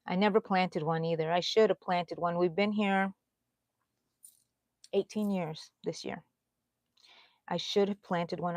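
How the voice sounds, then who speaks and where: A woman's voice: mid-range voice, no echo. Chatty woman hosting a live stream. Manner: chatty and animated, swinging from loud to soft whispers. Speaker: crochet channel host. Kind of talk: casual live-stream chat.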